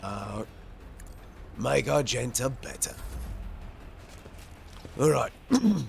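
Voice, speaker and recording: A man's voice: medium pitch, very animated, drawn-out words, vocal fry, a little hiss or hum. A man doing casual commentary as he plays a video game, muttering to himself. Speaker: gamer, playthrough host.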